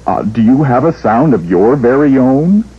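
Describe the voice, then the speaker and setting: A man voicing Mister M, a friendly letter character with a munching mouth, asking curious questions in a raspy voice. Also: medium pitch, expressive, moderate pace, noisy background, natural voice. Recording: dull lo-fi TV audio.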